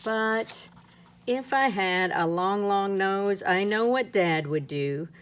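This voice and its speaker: A woman reading a rhyming children's picture book aloud in a playful, lilting way, her pitch swinging up and down. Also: takes it slowly, clear, resonant tone. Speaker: storybook reader.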